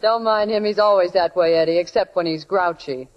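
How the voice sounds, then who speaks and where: An actress playing a wry, snappy, worldly big sister in 1940s radio style. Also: female voice, fairly low pitch, a little fast, close to an everyday voice. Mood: sardonic. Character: wisecracking, worldly big sister, fond but sarcastic. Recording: muffled 1940s radio recording.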